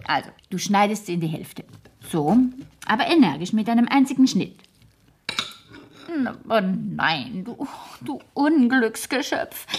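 Grand, exacting older great-aunt. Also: female voice, on the high side, darkish tone, a little hiss or hum. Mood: exasperated. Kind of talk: 1970s German radio play acting.

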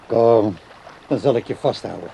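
A tender but stubborn ageing man with a warm, dark voice. He is easily fed up, in the manner of a radio play character. The recording carries faint background noise. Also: lowish voice, normal speed, vocal fry. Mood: tender, reassuring. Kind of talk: Dutch radio play acting.

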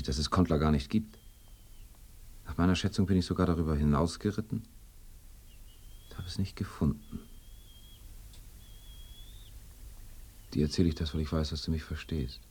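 Very deep, husky ghost of a horseman. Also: a little mellow, heavily acted. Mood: confiding. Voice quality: husky, very low. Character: ghost of a lost young horseman, bewildered and weary. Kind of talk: binaural radio drama acting.

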